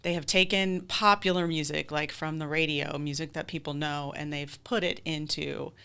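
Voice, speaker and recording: A woman's voice: low-pitched, fairly even intonation, normal speed, creaky, bright, forceful tone, clear, resonant tone. A woman chatting casually as an interview guest, explaining things with enthusiasm. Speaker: podcast guest, theater director.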